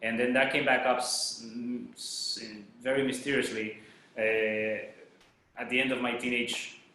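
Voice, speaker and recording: Bright-voiced man in a very echoey room. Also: medium pitch, level tone, a little slow, a little hiss or hum. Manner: hesitant, many uhs and restarts. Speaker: guest, dancer. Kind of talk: casual interview chat.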